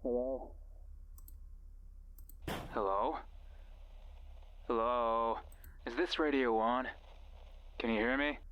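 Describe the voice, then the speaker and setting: An actor playing a mysterious, controlling friend who coaxes and instructs the listener over a radio in a horror game. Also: male voice, medium pitch, fairly even intonation, slow pace, pauses often, dull audio, a little hiss or hum, natural voice. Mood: coaxing. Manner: instructing, coaxing, addresses listener directly. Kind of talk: horror visual novel voice acting. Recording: music behind the voice.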